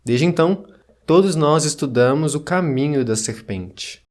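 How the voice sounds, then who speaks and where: A man's voice: on the high side, expressive, unhurried, darkish tone. A man voicing a solemn teller of ancient creation myths in a scripted audio story. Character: ancient myth teller recounting serpent and dragon creators. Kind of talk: scripted mythic narration.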